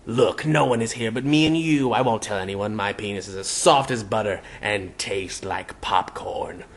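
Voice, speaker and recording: Guy playing a creepy old enchanted hat, gruff low baritone, smug and crooning, fanfic storybook. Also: moderate pace, a little hiss or hum, close to an everyday voice. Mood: cajoling, confident. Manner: crooning, sing-song, cajoling.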